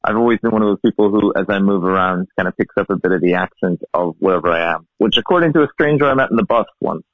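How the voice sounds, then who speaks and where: A man in his thirties from Ireland with a softened Irish accent, a field hockey coach chatting as a guest and telling his story with easy laughs. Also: low voice, fast, no room sound. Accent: Irish, softened by years in the US. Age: 30s. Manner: relaxed, chatty, laughs while telling stories. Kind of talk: casual interview storytelling. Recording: tinny phone-like line, dry.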